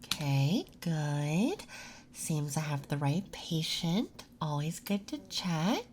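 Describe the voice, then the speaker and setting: A soft-spoken ASMR performer playing a gentle, calming nurse, speaking in a soothing, unhurried way during a roleplay intake. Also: medium pitch, background noise.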